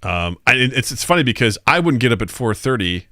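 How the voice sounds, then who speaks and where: A man's voice: medium pitch, sing-song, a little fast, sharp tone, dry recording, studio quality. A man of forty hosting a live podcast stream in a laid-back, joking way, chatting with his co-host and reading viewer comments aloud. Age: 40s. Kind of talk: casual live-stream chat.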